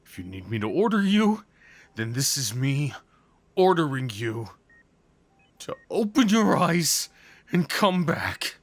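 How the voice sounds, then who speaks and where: Man acting a slow-spoken, lovesick, desperate crime boss. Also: on the high side, very animated, no room sound, heavily acted. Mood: commanding, desperate. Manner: slow, halting, emotional, pleading delivery. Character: devoted, desperate crime boss at his lover's hospital bedside. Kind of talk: intimate ASMR audio roleplay monologue.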